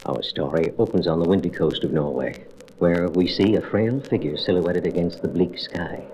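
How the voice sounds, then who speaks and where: A man's voice: low voice, moderate pace, dark tone. A man narrating a story in a dramatic, portentous scripted style. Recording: faintly noisy old record.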